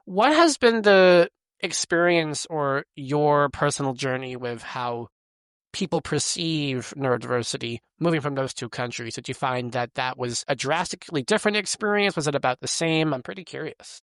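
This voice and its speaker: A man's voice: a little high, expressive, moderate pace, fairly bright tone, no room sound. Curious male podcast host, dry sound. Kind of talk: podcast hosting, scripted intro then casual chat.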